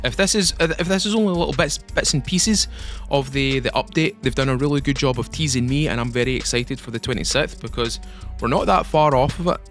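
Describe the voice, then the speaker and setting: Scottish male gaming YouTuber, young adult, chatty and excitable, mid-range voice, faint music underneath. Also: medium pitch, brisk. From Scotland. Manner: rambling, enthusiastic, repeats himself. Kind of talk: casual game update commentary.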